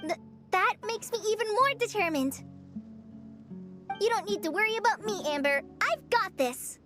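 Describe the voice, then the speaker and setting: A woman's voice: very high voice, animated, moderate pace. A woman voicing an earnest young scout in a video game, polite, businesslike and reassuring. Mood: earnest, touched. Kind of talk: video game character dialogue. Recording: music under the voice.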